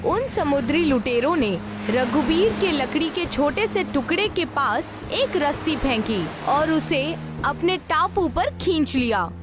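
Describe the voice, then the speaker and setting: A woman's voice: high voice, animated, measured pace, over music. A woman narrating a scripted story in a storytelling manner.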